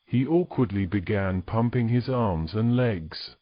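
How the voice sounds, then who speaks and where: Male narrator, fairly deep, reading story prose in a dry, slightly dull recording. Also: lively intonation, measured pace.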